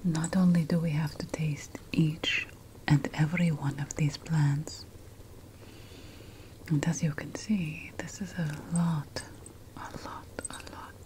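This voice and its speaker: Woman doing an old-world witch teacher, asmr whisper, really slow n soft, wry and fussy, bit of hiss. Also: deep voice, level tone. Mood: fussy, instructive. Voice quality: breathy unvoiced whisper. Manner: slow, deliberate, wry, theatrical. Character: ancient Mesopotamian witch mentor, teasing, fussy about plant remedies. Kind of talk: whispered ASMR fantasy roleplay.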